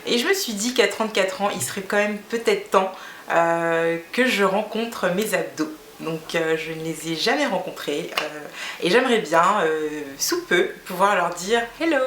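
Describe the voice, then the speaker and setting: A woman's voice: fairly low pitch, normal speed, fairly bright tone, reverberant, slight background noise. A woman in her thirties from Guinea whose first language is Fula, chatting casually to camera with many fillers and restarts. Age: 30s. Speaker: beauty vlogger.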